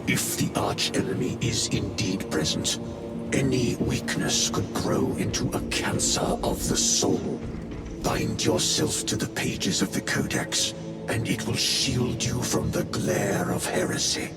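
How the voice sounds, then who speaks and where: A man's voice: mid-range voice, a little slow, echoey room, natural voice. A man playing a stern warrior chaplain in a video game, preaching severely and solemnly. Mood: stern, zealous. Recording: loud music behind.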